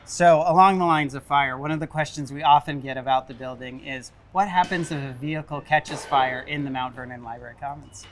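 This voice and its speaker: A man's voice: medium pitch, steady tone, a little fast, hardly any pauses, a bit bright, slight background noise. A man in his thirties, a library director, hosting an outdoor interview at a construction site with upbeat, friendly questions.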